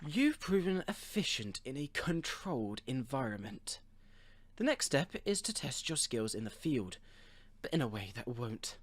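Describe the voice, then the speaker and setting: Pompous evil scientist male actor, mid-pitched, very animated intonation, precise and calculating, comic-dub villainy. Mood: controlling, sly. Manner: theatrical, formal, deliberate diction. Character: pompous, scheming villain doctor, a cold analytical schemer.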